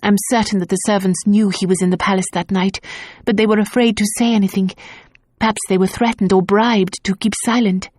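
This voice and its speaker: A woman voicing a frightened young wife, husky. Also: mid-range voice, steady tone, brisk, no room sound, clean studio sound, lightly acted. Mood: urgent. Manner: soft and pleading.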